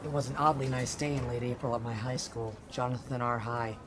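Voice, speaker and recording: An actor playing a troubled teenage high school boy in an amateur radio drama, slangy and dramatic in delivery. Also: flat delivery, a little fast, noisy background, close to an everyday voice. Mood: wistful.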